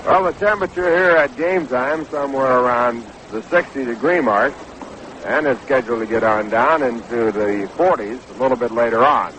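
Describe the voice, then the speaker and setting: A man calling baseball as a play-by-play announcer in a measured 1960s radio style, reading out details in orderly fashion. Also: mid-range voice, fairly bright tone. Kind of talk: 1960s radio sports commentary. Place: ballpark broadcast booth. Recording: noisy vintage 1960s radio broadcast recording.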